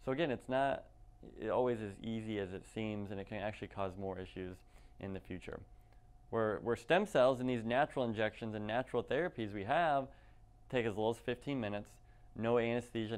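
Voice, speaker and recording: A man's voice: on the low side, a little fast, clean tone. A young man, a clinic doctor, speaking to camera in a conversational, persuasive way and asking rhetorical questions. He is recorded indoors in an office room on a lapel mic. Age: young adult. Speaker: clinic doctor giving a patient talk. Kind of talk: direct-to-camera health presentation.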